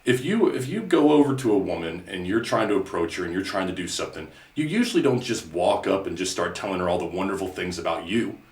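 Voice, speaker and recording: A man's voice: low-pitched, animated, quick pace, few pauses, darkish tone, echoey room. A male podcast host in casual coaching chat, earnest and repetitive, often saying "you know". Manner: earnest, repeats himself, many "you know"s.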